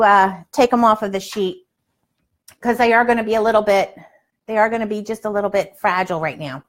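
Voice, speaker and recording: A woman in her sixties hosting a casual livestream from her kitchen, chatty and folksy, given to exclaiming. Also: fairly high pitch. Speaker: live cooking show host. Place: home kitchen, indoors. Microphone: lapel mic.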